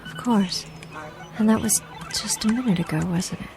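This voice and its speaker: A woman's voice: medium pitch, normal speed, over music, natural voice. An actress playing an anxious, bewildered young woman in a radio drama, hesitant and stammering yet insistent. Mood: cautiously probing.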